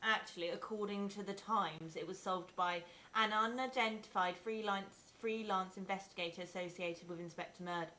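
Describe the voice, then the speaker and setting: A woman's voice: medium pitch, normal speed, few pauses, poor audio. A woman playing a dry-witted investigator and reading stage directions at a live sitcom table-read. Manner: dry, deadpan wit. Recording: live theatre with faint noise.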